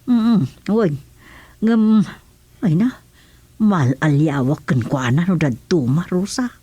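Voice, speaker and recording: An animated, grumbling Ilocano mother. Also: fairly low pitch, slow, slightly rough, dry recording, heavily acted. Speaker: actor in a radio serial. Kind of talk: Filipino radio comedy-drama acting.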